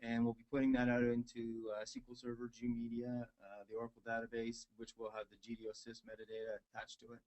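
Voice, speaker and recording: Easygoing man presenting software. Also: mid-range voice, monotone, fast, few pauses, rough recording. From Nova Scotia, Canada. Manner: easygoing, filler-heavy, hesitant. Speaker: technical presenter. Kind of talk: casual webinar presentation.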